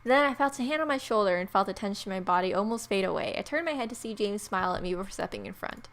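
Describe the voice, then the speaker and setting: A woman's voice: mid-range voice, expressive, fast, fairly bright tone, a little hiss or hum. A woman doing playful gaming commentary, reading story text aloud and adding jokey, informal asides. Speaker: let's play host reading the game aloud. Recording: game music behind the voice. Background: game music.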